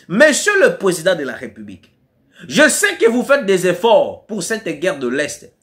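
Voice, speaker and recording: A Congolese-accented man delivers fiery political commentary with a high voice that leaps up and down. He presses his case hard and repeats key lines for emphasis. He sounds like a commentator addressing his online audience. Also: bright, forceful tone. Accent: Congolese French.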